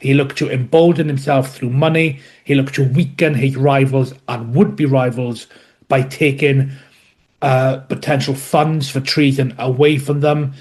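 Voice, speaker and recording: A man from West Wales with a Welsh accent, a historian explaining his subject in a podcast interview with measured, dryly humorous asides. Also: medium pitch, steady tone, a little mellow. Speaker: guest historian.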